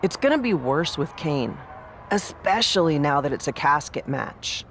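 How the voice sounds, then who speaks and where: Game adviser, encouraging and crafty: high register, slight background noise. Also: male voice, sing-song, moderate pace, a bit bright. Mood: earnest. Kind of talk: scripted video game cutscene acting.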